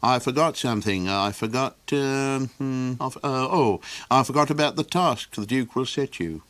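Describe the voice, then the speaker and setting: A man's voice: medium pitch, a bit bright, no echo, natural voice. A man voicing a fretful, kindly creature who rambles with ums and chuckles in a storybook reading. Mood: rambling. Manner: halting, full of ums and chuckles.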